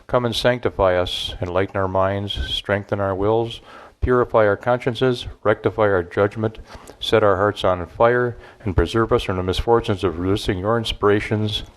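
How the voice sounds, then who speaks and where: A man, a priest, reciting an opening prayer in a measured, solemn way. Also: fairly low pitch, steady tone.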